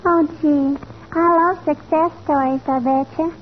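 A woman's voice: high-pitched, steady tone, a little hiss or hum, voice pushed up. A woman voicing a chattering, pestering little girl who repeats herself in a 1940s radio comedy. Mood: eager. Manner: slow, babyish, repeats herself. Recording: tinny old radio broadcast.

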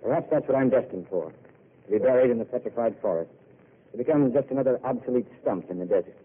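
An actor playing a gentle, fatalistic wandering drifter in a 1940s radio drama, with a soft, reflective way of speaking. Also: male voice, mid-range voice, fast talker, slightly rough, lightly acted. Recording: tinny old radio broadcast.

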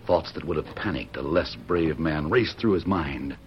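An actor as the solemn, suspenseful narrator of an old-time radio western adventure. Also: male voice, a bass voice, animated, moderate pace. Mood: tense. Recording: muffled old radio recording. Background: dramatic music.

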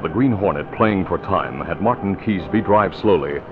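A man's voice: sits low, normal speed, loud music behind the voice. A man announcing and narrating a 1940s radio program in a dramatic, emphatic, scripted style. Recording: dull old radio recording.

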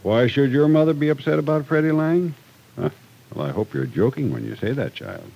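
A man's voice: medium pitch, sing-song, a little fast, gravelly, warm tone, breathy edge, exaggerated voice, poor audio. An actor playing a worried, fatherly, middle-aged small-town man in an old-time radio drama, folksy and admonishing. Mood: incredulous.

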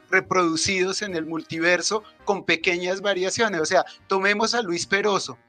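A man's voice: high voice, fairly bright tone. A man with a Colombian accent giving an informal literary talk as an author, explaining things in a discursive, hesitant way. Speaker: author presenting a book.

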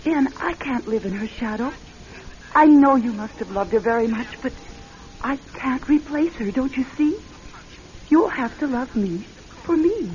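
A woman's voice: sits high, animated, a little slow. An actress playing an anxious, loving, practical woman in a radio drama, telling her story and speaking in an earnest, dramatic way. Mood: pleading, earnest. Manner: hushed, dramatic storytelling, earnest pleading in dialogue. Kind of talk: radio drama acting and first-person suspense narration. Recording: dull lo-fi radio recording, music behind. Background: music.

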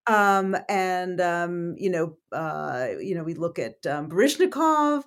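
A woman interviewing an author, asking long questions with many fillers, pauses and false starts. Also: lowish voice, expressive, smooth.

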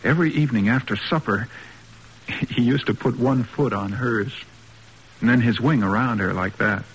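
A man's voice: medium pitch, normal speed, lightly acted. An actor playing a shy, earnest, respectful young man in a classic radio drama, hesitant and deferential. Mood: earnest, wistful. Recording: noisy old radio recording with hum.

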